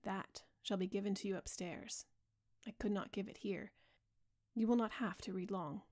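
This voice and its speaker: A woman's voice: mid-range voice, normal speed, darkish tone, natural voice. A woman reading the part of a proud, insolent young man who mocks his older friend, speaking in a hard, scornful way. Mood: cold.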